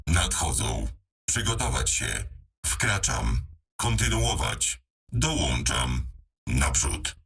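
Robot mech voice for a game, super deep n raspy, clipped military callouts, robotic filter. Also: male voice, a bit bright, far from the mic, heavily acted. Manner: terse, deliberate machine-like delivery. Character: robotic battle mech computer speaking for a hamster pilot. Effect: robotic voice processing. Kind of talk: clipped military status announcements.

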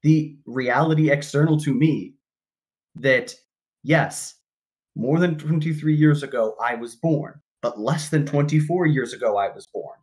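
A man's voice: on the high side, a little slow, darkish tone. A man in his twenties on a voice call, laying out his argument step by step. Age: 20s. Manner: methodical, argues step by step. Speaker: debate caller. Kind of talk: casual debate. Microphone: voice call mic.

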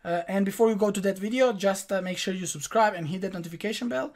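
A man's voice: sits high, fast, darkish tone. Young Croatian man teaching step by step. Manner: talks viewers through each click, direct and instructive. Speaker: online tutorial host. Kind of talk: screen-recorded step-by-step tutorial. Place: small room indoors.

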